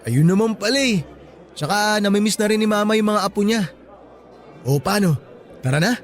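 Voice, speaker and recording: A man's voice: high-pitched, expressive, normal speed. A man with a Filipino accent playing an affectionate, playful boyfriend in a radio drama. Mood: cheerful. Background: background music.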